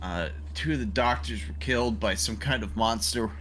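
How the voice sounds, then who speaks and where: A man with a fairly low but bright voice speaks as a player in a tabletop game. His delivery is slow and careful, often halting. Faint noise sits behind him. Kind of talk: casual in-character game talk.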